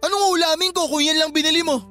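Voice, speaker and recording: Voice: sits high, steady tone, quick pace, sharp tone. A performer playing a lazy, sarcastic young male live-in boyfriend in a radio drama, trading insults and giving orders. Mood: angry. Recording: radio drama with faint background music.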